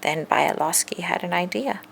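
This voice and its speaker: A woman reading a children's story aloud in an expressive, deliberate narrating style. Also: fairly low pitch, creaky, slight background noise. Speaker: storybook reader.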